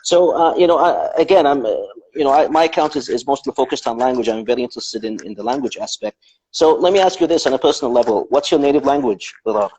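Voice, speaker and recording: A man hosting a remote interview, speaking in a thoughtful, measured way with many fillers. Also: mid-range voice, darkish tone, husky, low-quality recording. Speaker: host. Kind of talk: conversational interview.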